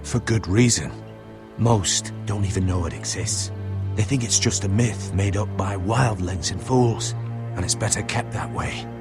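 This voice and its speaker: An actor playing a grave, trusting counsellor of a noble house in a fantasy game, speaking in a measured, guarded, solemn way. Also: male voice, fairly low pitch, music in the background, close to an everyday voice. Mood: secretive, grave. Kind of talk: dramatic fantasy game acting.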